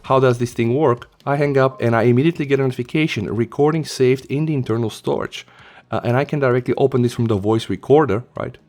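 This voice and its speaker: A man's voice: mid-range voice, quick pace, a little mellow. Male tech tutorial host over background music. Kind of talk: casual step-by-step screen tutorial narration.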